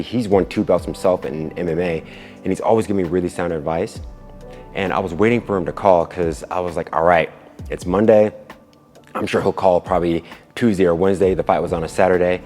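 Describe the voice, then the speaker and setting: A man with a very low voice talks casually and earnestly, as if sharing a personal story with viewers. His words carry a long reverb, and music plays behind him. He often repeats a word or phrase to stress it. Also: darkish tone. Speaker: kickboxer and video creator.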